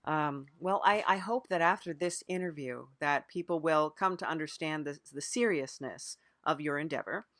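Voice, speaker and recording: A podcast interviewer improvising a mock interview in a deadpan, sympathetic and hesitant manner. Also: mid-range voice, moderate pace, hardly any pauses, dry recording, studio quality.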